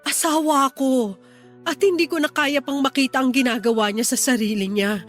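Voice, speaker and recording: Actress as desperate Filipino wife, high voice, earnest begging, emotional radio drama, music underneath. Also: female voice, fairly even intonation, normal speed, close to an everyday voice. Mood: distressed, insistent. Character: pleading, desperate wife and mother, younger sister-in-law.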